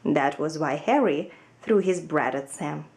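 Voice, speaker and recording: A woman narrating a children's storybook aloud in an expressive reading style. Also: low-pitched, a little slow. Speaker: storybook reader.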